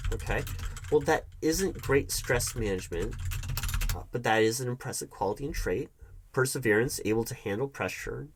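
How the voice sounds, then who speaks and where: A man playing a defensive, wounded hiring manager arguing with his ex in a conversational audio roleplay, halting and often throwing questions back. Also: medium pitch, a little hiss or hum, lightly acted. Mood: subdued, formal. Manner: defensive, halting, repeats the other's accusations as questions. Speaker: roleplay performer. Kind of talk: one-sided audio roleplay, conversational acting.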